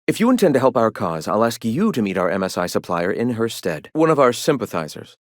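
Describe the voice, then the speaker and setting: An actor playing a zealous, scholarly leader of a philosophical rebel movement in a video game, speaking in a lofty, formal, preachy way. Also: male voice, lowish voice, sing-song, fairly quick, dry recording, studio quality. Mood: calm, instructing. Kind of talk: video game character dialogue.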